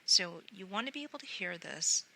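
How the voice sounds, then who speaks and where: A woman guiding a sleep meditation in a gentle, soothing, unhurried way, often softening into breathy near-whispers. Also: fairly low pitch, lively intonation, a little hiss or hum, poor audio. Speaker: meditation guide.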